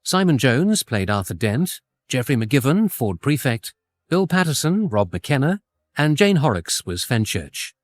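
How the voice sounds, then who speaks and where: A man's voice: medium pitch, very animated, a little slow, no echo. A man with a British accent reading scripted radio credits as a continuity announcer.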